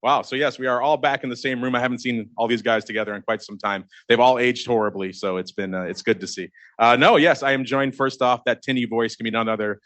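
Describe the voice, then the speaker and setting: A male host keeps things loose and teasing as he introduces his panel at a live event. His voice sits at a middle pitch, and the sound is dry and close. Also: steady tone, fast talker, hardly any pauses, fairly bright tone, no echo. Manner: jokey, teasing banter. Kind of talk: casual chat. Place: event space away from the studio.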